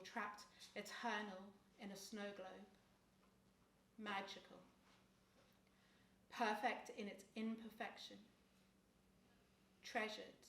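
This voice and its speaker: A woman performing defiant spoken word poetry in a measured, declamatory delivery. Her pitch stays flat and even. She sounds set back from the mic in a roomy space. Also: recorded from a distance.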